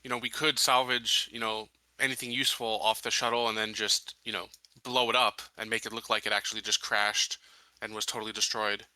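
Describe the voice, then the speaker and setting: A man's voice: on the low side, flat delivery, fairly quick, sharp tone, dry recording, low-quality recording. A man playing a tabletop roleplaying game, talking through plans in a casual, conversational way. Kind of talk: casual tabletop planning chat.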